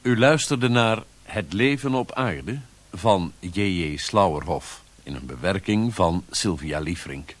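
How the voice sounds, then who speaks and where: A man reading scripted closing credits as a radio announcer, formal and deliberate. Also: deep voice, animated, no room sound.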